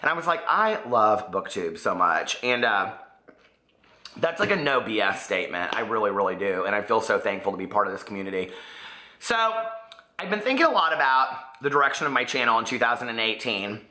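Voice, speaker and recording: A man's voice: fairly low pitch, fairly quick. A man chatting casually to camera about his reading, his voice still partly gone and coming back. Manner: chatty, rambling, expressive. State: voice partly lost, recovering. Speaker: book vlogger. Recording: echoey room.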